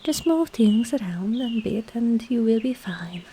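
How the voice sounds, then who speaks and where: A woman playing a sly, coaxing witch herbalist in an ASMR roleplay, speaking softly and often slipping into a whisper. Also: fairly high pitch, lively intonation, a little slow, background noise. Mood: soothing, sly.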